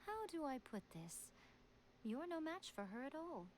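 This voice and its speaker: Elegant, sly fox shrine maiden, noisy capture. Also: female voice, high voice, very animated. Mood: teasing. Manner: poised, teasing, measured condescension. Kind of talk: video game voice acting. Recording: game stream with background noise.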